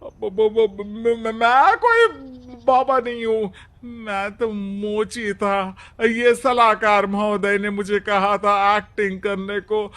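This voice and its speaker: A man voices a scared cartoon cobbler who posed as a holy man. He stammers and pleads in a high, halting voice. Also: fairly bright tone. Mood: scared, pleading. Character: frightened cobbler confessing as a fake holy man.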